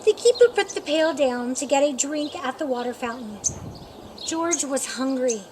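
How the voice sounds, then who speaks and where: A woman reading a picture book aloud as children's storytime, with deliberate, expressive phrasing for young listeners. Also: high voice, a little hiss or hum.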